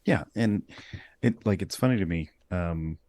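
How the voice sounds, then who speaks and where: A man hosting a casual interview, talking in a relaxed way and laughing easily between points. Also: low voice, unhurried, dry recording. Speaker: host.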